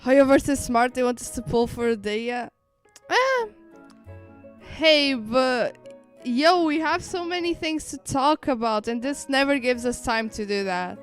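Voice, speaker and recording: A woman streamer reacting live in casual, excitable chat. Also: sits high, measured pace, soft background music. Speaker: streamer reacting to a game livestream.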